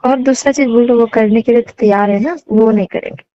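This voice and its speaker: A woman's voice: a little high, brisk. A young woman chatting casually and intimately on a phone call, coy, often answering with short hums. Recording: dull lo-fi phone call recording, roomy.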